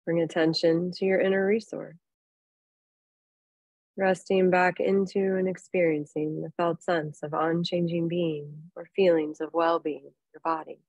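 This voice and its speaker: A woman leads a guided relaxation as a meditation teacher. Her voice sits somewhat low, and she speaks in an unhurried, soothing way, leaving long pauses between phrases. The sound is very dry, free of room reflections. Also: flat delivery, darkish tone, no room sound, low-quality recording. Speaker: yoga nidra meditation teacher.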